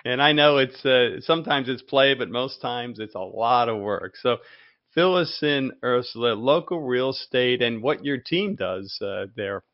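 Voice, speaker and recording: A man's voice: medium pitch, measured pace, muffled recording. A man hosting a podcast, interviewing a guest with upbeat, loosely phrased questions and short affirmations. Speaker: podcast host. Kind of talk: casual interview chat.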